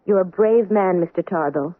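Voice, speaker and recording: An actor playing a busy, formal head doctor who speaks curtly but with idealism. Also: male voice, high-pitched, moderate pace, dry recording, close to an everyday voice. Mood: brisk. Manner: curt, formal. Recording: tinny old radio broadcast.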